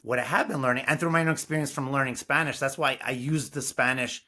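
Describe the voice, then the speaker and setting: Bright-voiced New man, animated. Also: medium pitch, fast talker. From Brooklyn, New York. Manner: earnest, animated storytelling. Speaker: music curriculum founder, guest. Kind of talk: casual interview talk.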